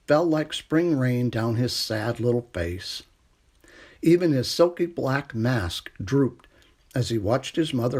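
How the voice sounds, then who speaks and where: A man's voice: mid-range voice, darkish tone, slightly rough. A man narrating a children's storybook expressively as a storytime reader.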